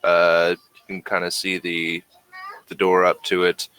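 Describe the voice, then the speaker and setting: A man narrating a tabletop role-playing game as game master in a casual, halting way, thinking aloud as he goes. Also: deep voice, completely flat delivery, pushed and bright, a little hiss or hum. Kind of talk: casual, improvised game narration and rules talk.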